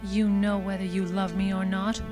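An actress playing a manipulative femme fatale in a radio drama, theatrical, pleading and halting. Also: female voice, mid-range voice, level tone, music in the background, natural voice. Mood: hurt, desperate. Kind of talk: old-time radio drama acting.

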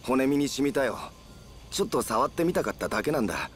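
A man playing a brash, easygoing, loyal young soldier in a Japanese video game, talking roughly and casually. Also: mid-range voice, sing-song, quick pace, faint music behind, close to an everyday voice. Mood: sheepish. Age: young adult.

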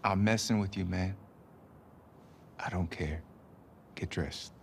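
Low, easygoing young male survivor. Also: slow pace, creaky, slight background noise, exaggerated voice. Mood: teasing. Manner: relaxed, wry, deadpan teasing. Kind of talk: naturalistic video game acting.

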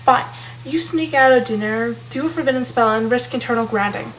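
An actress with an American accent playing a defiant, scheming sixteen-year-old daughter in a teen TV drama. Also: female voice, fairly high pitch, a little fast, lightly acted. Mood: resigned, sly. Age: teens. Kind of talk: live-action teen TV film acting. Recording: noisy TV clip with background ambience.

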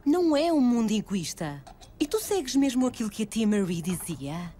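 Woman as earnest, high-voiced young cartoon friend. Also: sing-song, moderate pace, background noise. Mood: hurt, protesting. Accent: European Portuguese. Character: principled, caring young woman, the star's best friend. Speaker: fan dub voice actor. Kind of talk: animated film dubbing. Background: music.

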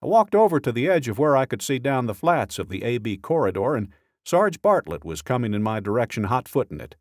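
A man reading a scripted true-crime audiobook in a measured, dramatic storytelling way, close to a studio microphone. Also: medium pitch, very animated, a little mellow, no room sound. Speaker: audiobook narrator.

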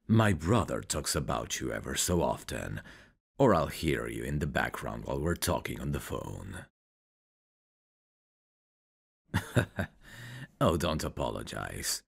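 Man acting a very deep, gravelly flirty guy. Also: big pitch swings, heavily acted. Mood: reflective, warm.

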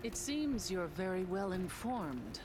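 A woman's voice: fairly low pitch, big pitch swings, very slow, noisy background, heavily acted. A woman voicing a cool adult female assassin with a dry, detached delivery. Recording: game music behind voice.

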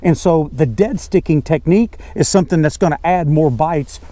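Higher-pitched older Southern man, folksy. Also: normal speed, warm tone. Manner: folksy, repeats key words for emphasis. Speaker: fishing guide. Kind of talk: informal instructional talk. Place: outdoors on a bass boat on a lake.

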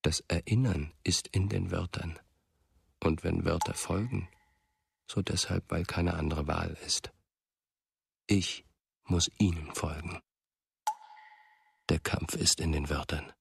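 A haunted, introspective narrator in a radio play. His voice is extremely low and gravelly, held nearly monotone in a sombre manner. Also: takes it slowly, long pauses, no echo, exaggerated voice. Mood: bleak, confessional. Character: brooding, haunted male narrator searching for a lost friend. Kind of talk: literary radio drama monologue.

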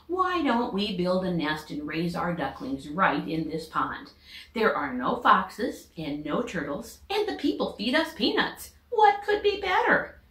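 A woman voicing a fussy, excitable mother duck in a storybook, with an emphatic, flustered manner. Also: lowish voice, unhurried, echoey room. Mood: pleased, persuasive.

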